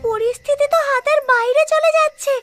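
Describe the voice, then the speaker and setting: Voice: very high voice, fairly quick, a bit bright, soft background music. A performer voicing a tiny, good-hearted, childlike cartoon being with an exclamatory manner. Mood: worried.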